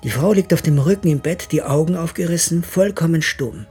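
An actor playing a troubled, sceptical husband and father in an intimate radio drama, with a husky voice. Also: fairly high pitch, brisk, a little mellow, soft background music. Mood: brooding, hushed.

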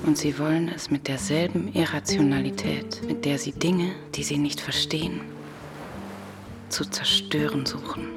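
An actress playing a wounded, haunted woman in a radio play, speaking in an intimate, breathy half-whisper. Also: low voice, fairly even intonation, moderate pace, over loud music. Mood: brooding.

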